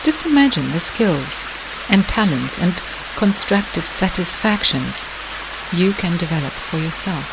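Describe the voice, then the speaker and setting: A meditation guide delivering scripted hypnotic narration in a measured, deliberate way with pauses. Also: mid-range voice, animated. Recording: hissy, clearly noisy background.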